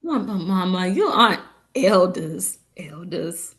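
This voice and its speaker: An actress playing an earnest young daughter from a village in a staged drama, halting and repeating her words. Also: lowish voice, lively intonation, fairly bright tone, heavily acted. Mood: apologetic, confused. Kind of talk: staged script read of a drama.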